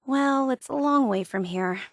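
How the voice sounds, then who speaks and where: A woman's voice: high-pitched, unhurried, no echo, studio quality. A woman voicing a bubbly, obsessive young female superfan in a video game, earnest and gushing. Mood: pleased. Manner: gushing, earnest, wide-eyed enthusiasm. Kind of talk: video game character voice acting.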